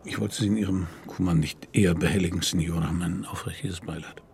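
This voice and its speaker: An actor playing a composed, considerate middle-aged police commissioner in a radio play, husky and gravelly, asking questions in a measured, polite way. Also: male voice, low-pitched, steady tone, a little mellow, slight background noise. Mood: gentle, careful.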